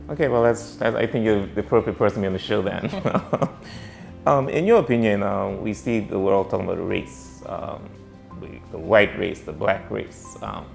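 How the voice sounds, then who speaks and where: A man's voice: lowish voice, creaky, a little mellow. A man hosting an online interview show, introducing his guest and putting questions in a conversational way. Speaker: host, interviewer. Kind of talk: interview on a YouTube channel.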